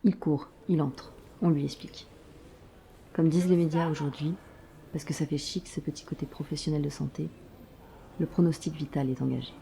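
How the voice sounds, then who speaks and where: A woman narrating a scripted audio drama in a deliberate, wry storytelling manner. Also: sits low, fairly even intonation, gravelly, mellow, a little hiss or hum, rough recording.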